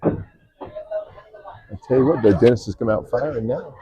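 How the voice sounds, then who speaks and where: A man's voice: medium pitch, moderate pace, warm tone, slightly rough, highs cut off. A man commentating on a pool match in a casual, jokey way, swearing and laughing often. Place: pool hall.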